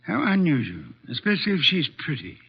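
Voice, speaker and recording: An actor playing an imperious, pompous, drily sarcastic armchair detective in a 1950s radio drama. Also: male voice, on the high side, huge pitch swings, a little fast, gravelly, husky, full character voice. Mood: sardonic. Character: imperious, sardonic genius male armchair detective. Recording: muffled old radio broadcast.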